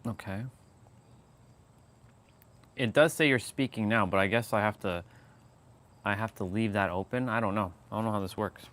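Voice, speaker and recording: A man's voice: fairly low pitch, normal speed, pauses often, a bit bright. Rambling man chatting on a livestream. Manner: rambling, laughing, many restarts and fillers. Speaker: livestream host. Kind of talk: casual livestream chat.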